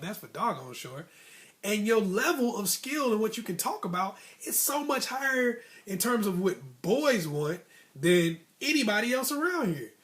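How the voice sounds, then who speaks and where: An American man with a slightly high voice shares stories from his own life as informal motivational advice. He talks conversationally and leans on repeated phrases to drive his points home. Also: fairly bright tone. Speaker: host of a motivational storytelling vlog.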